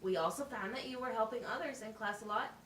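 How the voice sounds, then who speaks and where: A woman's voice: a little high, quick pace, fairly bright tone, close to an everyday voice. A woman voicing an encouraging, methodical teacher in a scripted puppet show, explaining things plainly and asking questions. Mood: encouraging, instructive. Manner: instructive, question-led, plain wording. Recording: roomy, distant mic, faint noise.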